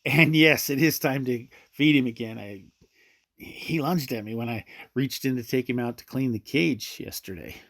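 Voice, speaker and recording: A tired, troubled searcher acted by a man in a radio play. His voice sits at a middle pitch, with a conversational, muttering delivery. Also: normal speed, fairly bright tone, natural voice. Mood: weary, chatty. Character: weary, troubled male searcher who lives with snake. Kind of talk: radio drama acting.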